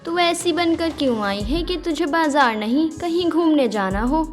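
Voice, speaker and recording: A woman's voice: high-pitched, animated, unhurried. A woman voicing an exasperated, scolding older mother-in-law in a Hindi cartoon story, with music behind her.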